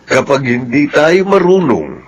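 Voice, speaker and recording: A man's voice: medium pitch, huge pitch swings, unhurried, slightly rough, full character voice. A man with a Filipino accent playing a determined, reassuring farmer and caring husband in a radio drama, coaxing and persuasive.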